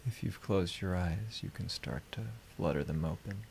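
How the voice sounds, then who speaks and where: A man hosting a live stream, guiding meditation and chatting casually in a gentle, unhurried, reflective way. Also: low-pitched, creaky, slight background noise.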